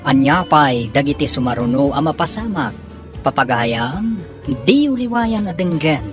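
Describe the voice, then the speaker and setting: A woman announcing and narrating a radio drama in a measured way. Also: deep voice, expressive. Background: music bed.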